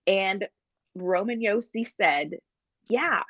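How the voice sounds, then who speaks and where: A woman co-hosting a sports podcast in a chatty, animated way. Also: mid-range voice, slow pace, no room sound, dull audio. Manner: chatty and animated, apologetic asides. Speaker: podcast co-host. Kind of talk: casual sports podcast chat.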